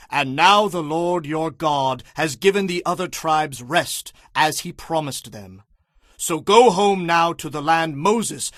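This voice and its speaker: A man playing a solemn, commanding Israelite leader addressing his tribes in a slow, emphatic audio Bible drama. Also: a little high, fairly bright tone, no room sound, clean studio sound. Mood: generous, commanding.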